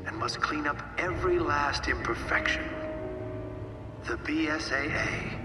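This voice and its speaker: Slow, echoing older villain chief. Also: male voice, on the high side. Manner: pompous, slow, coldly deliberate. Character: menacing, self-important older male commission chief. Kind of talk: villain speech in game cutscene. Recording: echoey, reverberant, distant, music behind.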